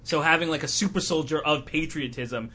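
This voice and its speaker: Bright, highish-voiced male podcast host. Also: brisk, slightly rough. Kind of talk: casual panel discussion.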